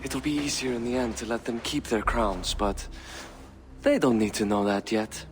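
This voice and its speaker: A man's voice: medium pitch, normal speed, faint music behind, close to an everyday voice. A man voicing a wry, scheming Viking lord. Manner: wry and cocky, coarse asides. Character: sly, scheming male Viking noble, cousin.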